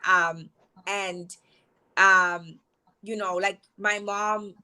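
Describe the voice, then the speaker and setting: A woman's voice: mid-range voice, fairly even intonation, extremely slow, pushed and bright, clear, resonant tone. A Zimbabwean woman co-hosting a casual panel chat, chatty and full of fillers as she mixes in Shona. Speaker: co-host.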